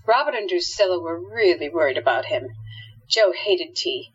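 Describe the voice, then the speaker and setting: A woman's voice: lowish voice, expressive, moderate pace, husky, highs cut off. A woman reading scripted narration as the narrator of a radio play.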